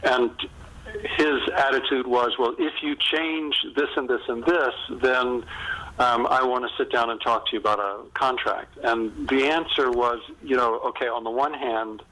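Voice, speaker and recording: A man with a bright, forward voice at a middling pitch answers interview questions as an author. He talks in a reflective, halting way, often restarting a thought before he finishes it. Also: steady tone, poor audio. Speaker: novelist and screenwriter being interviewed.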